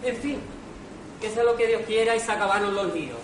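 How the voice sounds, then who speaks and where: A man's voice: high-pitched, brisk, distant mic, natural voice. Guy playing a jittery poor nobody in a stage farce, stammers, wry and fed up, roomy noisy recording. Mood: resigned grumbling. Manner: stammering, exasperated comic asides. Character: hapless poor impostor man, jittery and wryly resigned. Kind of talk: amateur comic radio theatre.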